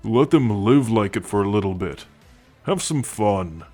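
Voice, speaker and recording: A man's voice: fairly low pitch, animated, measured pace, darkish tone, soft background music. A man voicing an easygoing alien tank soldier in an ASMR roleplay, wry and flirtatious, always ribbing his friend. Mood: flirty, coaxing.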